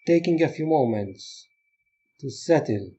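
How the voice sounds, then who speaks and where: A man leading a guided meditation as a teacher, giving gentle instructions in short phrases with pauses. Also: fairly high pitch, expressive, husky, highs cut off.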